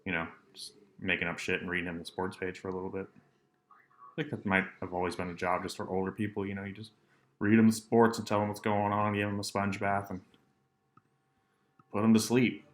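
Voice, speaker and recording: A young man hosting his own solo podcast, rambling casually and deadpan with lots of ums and asides. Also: sits low, fairly quick, long pauses.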